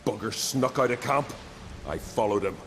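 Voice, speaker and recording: Actor as earnest young island warrior. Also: male voice, mid-range voice, normal speed, fairly bright tone, a little hiss or hum, close to an everyday voice. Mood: rueful, annoyed. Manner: earnest, colloquial storytelling. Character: loyal, hot-headed young Norse-style island warrior. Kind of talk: dramatic video game voice acting.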